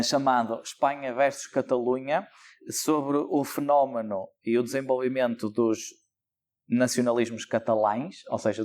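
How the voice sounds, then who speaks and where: A man's voice: mid-range voice, darkish tone. Hesitant young Portuguese man. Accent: European Portuguese. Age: young adult. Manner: thoughtful, hesitant with frequent fillers. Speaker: local election candidate. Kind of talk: interview answers.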